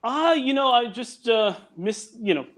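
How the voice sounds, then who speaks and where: A man's voice: very high-pitched, animated, measured pace, a bit bright. A young man chatting casually as a guest, hesitant and rambling. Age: young adult. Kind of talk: casual chat.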